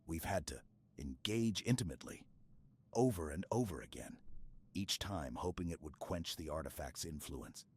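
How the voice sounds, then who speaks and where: A man playing a stoic, earnest super-soldier in an intimate sci-fi ASMR roleplay, speaking in a halting, hushed way with dramatic pauses. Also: sits low, animated, slightly rough. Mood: weary, sincere.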